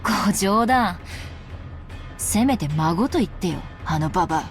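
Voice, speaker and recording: An actress playing a defiant, sarcastic teenage heiress in a visual novel, with a scornful, dry delivery. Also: female voice, medium pitch, lively intonation, brisk, faint music behind, lightly acted. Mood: sarcastic, wary. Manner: scornful, dry, self-possessed. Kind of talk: Japanese visual novel voice acting.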